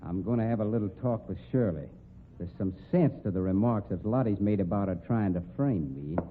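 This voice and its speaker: An actor with an American accent playing a menacing, hot-tempered rodeo cowboy in old radio drama, with a rough voice and rustic drawl. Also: male voice, fairly low pitch, a little fast, a little hiss or hum, lightly acted. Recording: tinny, narrow-band old radio recording.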